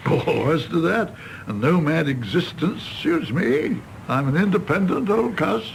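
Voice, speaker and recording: An actor playing a self-deprecating, hard-drinking older doctor in a radio drama, wry, theatrical and hesitant. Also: male voice, on the high side, big pitch swings, unhurried, gravelly, slight background noise, full character voice, poor audio. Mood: wry, jocular. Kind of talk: British radio drama acting.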